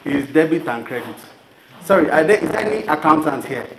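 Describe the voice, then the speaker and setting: A Nigerian man with a fairly high voice presents to a live audience in a conversational, storytelling way. He leans on rhetorical questions and speaks informally. The room rings around his voice, with some faint noise behind it. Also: expressive, quick pace, creaky. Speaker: presenter of a business training program. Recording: roomy venue sound with background noise.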